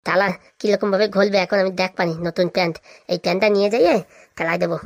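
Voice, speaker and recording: A woman's voice: mid-range voice, fairly even intonation, fast, dry recording, close to an everyday voice. A woman voicing a mischievous, scheming boy in a cartoon, with a sly, blustering, slangy manner. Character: scheming, mischievous boy, petty thief and braggart. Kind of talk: cartoon voice acting.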